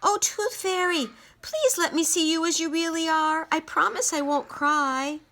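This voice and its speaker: A woman's voice: very high voice, measured pace, pushed and bright, a little hiss or hum, voice pushed up, exaggerated voice. A woman voicing an earnest, hopeful little girl in a storybook reading, speaking with eager emphasis. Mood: wistful, pleading.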